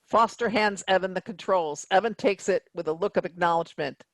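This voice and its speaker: Narrator reading out stage directions, fry in the voice, dry audio. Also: medium pitch, a little fast, a bit bright, dry recording, rough recording.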